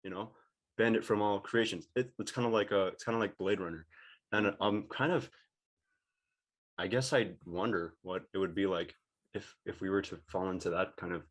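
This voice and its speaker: A man's voice: low-pitched, normal speed. A young man chatting casually as a panelist, informal and slangy. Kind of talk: casual chat.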